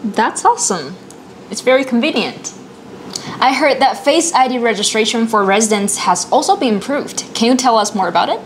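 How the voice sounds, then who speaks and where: A woman's voice: on the high side, animated, normal speed, slight background noise. A woman in her thirties co-presenting in a scripted product dialogue, responsive and inquisitive. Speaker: co-presenter asking questions.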